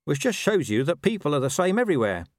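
A man's voice: on the high side, sing-song, fast, no room sound, studio quality, voice pushed up. A man voicing a scruffy, wheedling talking dog in an audiobook, sly and colloquial. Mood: cynical, knowing. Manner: colloquial, sly, world-weary asides.

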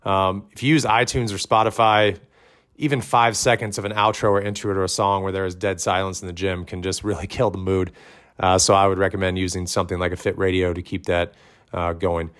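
A man in his thirties who coaches fitness classes, talking casually and loudly to new coaches, with lots of fillers. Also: sits low, level tone, a little fast, clear, resonant tone. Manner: conversational, many fillers, says his voice is loud. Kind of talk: instructional podcast talk.

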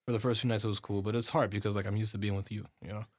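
Loud, fast young male co-host, casual and sweary, dry close sound. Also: on the low side, level tone, breathy edge, no echo. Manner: loud voice, heavy swearing, slangy. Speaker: podcast co-host. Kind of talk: casual chat.

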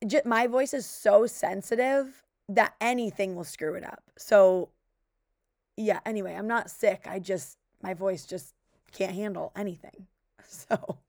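A young woman with a raspy voice, co-hosting a podcast in casual, chatty talk full of fillers. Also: medium pitch, measured pace, a little mellow, dry recording, clean studio sound. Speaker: podcast co-host. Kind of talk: casual chat.

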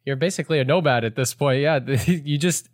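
A man's voice: a little high, a little fast, dry recording. Guy cohosting a podcast on zoom, dry sound, chatty, laughs a lot. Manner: chatty, laughs easily, many restarts. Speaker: podcast co-host. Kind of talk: casual video call chat. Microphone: video call.

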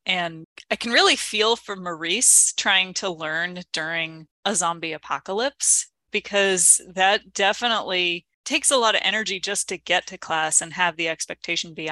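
A woman co-hosts a conversational podcast in a bright, forward voice that sits slightly low. The sound is dry and close, and she phrases her thoughts in an articulate, considered way. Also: no echo. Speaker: podcast co-host. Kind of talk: conversational podcast discussion of teaching.

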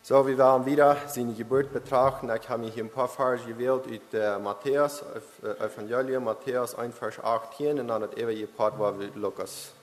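A man giving a Christmas sermon, reading verses and explaining them haltingly, with frequent hesitations. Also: medium pitch, fairly even intonation. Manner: halting, with many restarts and hesitations. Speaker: lay preacher. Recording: long reverb of a hall.

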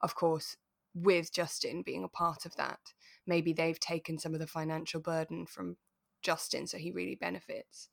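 A woman co-hosting a relaxed discussion podcast, retelling things expressively in a conversational way. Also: sits low, moderate pace, gravelly. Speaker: podcast co-host. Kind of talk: relaxed chat reviewing a radio drama.

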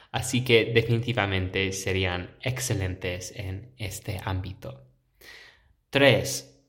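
A young American man, a native English speaker, hosting a solo educational podcast and explaining his points in a measured, reflective way. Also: fairly low pitch. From United States. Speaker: podcast host.

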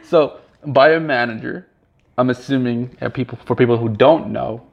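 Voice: medium pitch, moderate pace, gravelly, highs cut off. A podcast host in casual interview chat, a friendly, curious questioner who laughs easily and uses many fillers.

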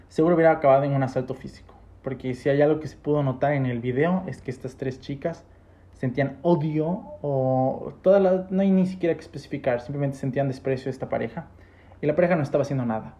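A young man hosts a podcast at a middle pitch, his tone mellow and dark. He stresses his points and turns often to speak straight to his listeners. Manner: emphatic, addresses listeners directly. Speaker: podcast host. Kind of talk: podcast talk and commentary.

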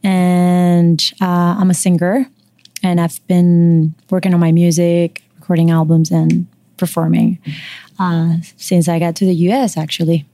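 Calm venezuelan lady, low mellow voice, dry close sound. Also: unhurried, no room sound. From Margarita Island, Venezuela. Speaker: singer, guest.

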